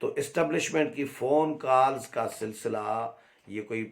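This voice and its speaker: Male political reporter, Pakistani accent, mid-pitched, explanatory and halting, speaking off the cuff. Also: gravelly. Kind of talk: unscripted political commentary.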